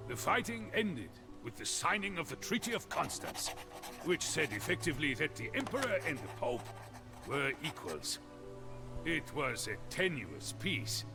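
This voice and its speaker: A man acting as a dramatic storyteller, narrating medieval history for a game's storybook scenes with grave, theatrical, deliberate phrasing. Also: a little high, gravelly, full character voice. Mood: dramatic storytelling. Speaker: campaign narrator. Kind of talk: scripted storybook narration for a historical strategy game. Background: music.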